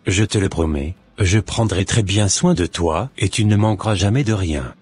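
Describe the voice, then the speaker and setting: A man playing a sincere, devout young suitor in an animated religious story, speaking in earnest, formal phrases. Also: low-pitched, normal speed, slightly rough, soft background music. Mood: devoted.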